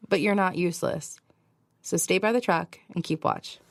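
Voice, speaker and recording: A low-pitched female voice with a long reverberant tail. A woman plays a weary, determined survivor of an invasion, telling her own story as audio drama and slipping into dialogue. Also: measured pace, gravelly. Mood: concerned, insistent. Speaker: narrator and lead actor. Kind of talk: first-person audio drama narration with acted dialogue.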